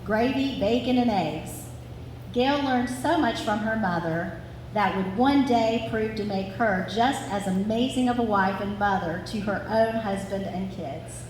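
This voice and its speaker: A woman reading a scripted family story aloud to a live audience. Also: on the high side. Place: large reverberant room at a family gathering. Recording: very echoey hall with clear background noise.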